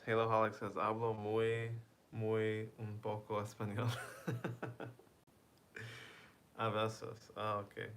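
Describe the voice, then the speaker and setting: Slow-talking man chatting with his stream. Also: on the low side. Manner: reads chat aloud, self-translates between English and Spanish; laughs softly between lines. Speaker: livestream host. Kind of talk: casual chat with viewers.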